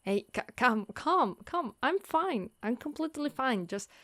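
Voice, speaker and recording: A woman's voice: high voice, animated, measured pace, noisy background. A woman voicing a caring, wry female Dullahan, an undead knight, in a reassuring, teasing, conversational ASMR monster roleplay. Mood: soothing, flustered. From Italy. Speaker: voice actress.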